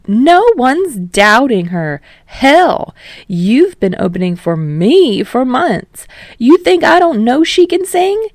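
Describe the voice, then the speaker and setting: A woman doing a boisterous male rock star who booms every line. He sounds high and shouty, full of teasing swagger. The recording is close and dry. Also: sing-song, takes it slowly, dry recording, exaggerated voice. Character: loud brash older male rock star.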